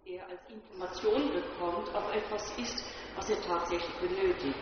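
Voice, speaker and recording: A woman's voice: lowish voice, normal speed. A woman in the audience making an earnest comment in German after a talk, her phrasing somewhat disjointed. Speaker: audience member asking a question. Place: lecture hall audience. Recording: distant, echoey, muffled hall with noise.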